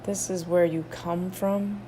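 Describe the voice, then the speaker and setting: A woman leading a guided meditation, soothing, with drawn-out phrases and long pauses between them. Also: on the low side, little pitch movement, warm tone, slight background noise.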